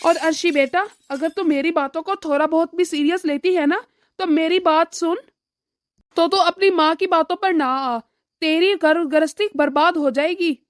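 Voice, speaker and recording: A woman voicing a weary, moralizing middle-aged father who lectures his family in an animated drama. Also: extremely high pitch, normal speed, dry recording. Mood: earnest, admonishing. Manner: admonishing, lecturing.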